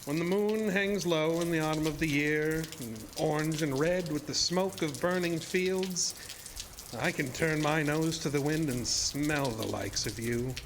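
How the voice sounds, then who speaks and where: A man's voice: a little high, measured pace, noisy background. A man playing a sinister, seductive illusionist showman in a horror audio drama, speaking in a theatrical, formal and insinuating way. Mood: brooding, seductive. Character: sinister, seductive showman-illusionist, deceptively ageless male villain.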